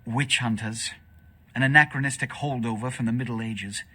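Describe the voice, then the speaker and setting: A haughty, sharp-voiced vampire leader. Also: male voice, mid-range voice. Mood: scornful, lecturing. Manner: haughty, measured, formal. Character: authoritative, aloof vampire leader issuing orders. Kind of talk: video game dialogue acting.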